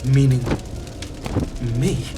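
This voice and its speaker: An actor playing a terrified narrator in a gothic horror audio drama, speaking haltingly and stammering with dread. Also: male voice, mid-range voice, over loud music. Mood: frightened confusion. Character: terrified male narrator summoned to an ancient family rite.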